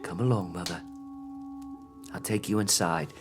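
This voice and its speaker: Gentle grieving father, slow and tender. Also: deep voice, music in the background. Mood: loving sorrow. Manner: tender, halting, earnest. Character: gentle grieving father, loving and brave-faced. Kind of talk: theatrical radio-play acting.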